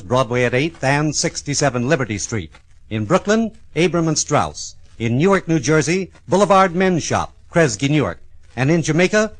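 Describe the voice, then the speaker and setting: A man's voice: a little high, poor audio. An American man reading listings in formal 1940s radio advertising style. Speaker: radio announcer. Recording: vintage radio broadcast.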